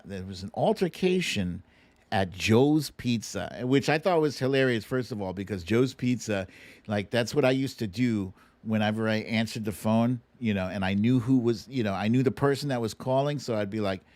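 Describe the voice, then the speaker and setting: Man, American accent, live show host, casual commentary, informal, joking, lively varied pitch. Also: normal speed. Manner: informal, joking, laughs easily. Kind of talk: casual talk and commentary to viewers.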